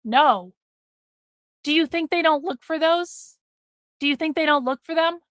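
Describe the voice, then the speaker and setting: Blunt high-voiced woman losing her voice. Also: unhurried, fairly bright tone, dry recording, studio quality. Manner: blunt, swears freely, repeats herself for emphasis. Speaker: livestream host. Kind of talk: casual livestream talk to chat.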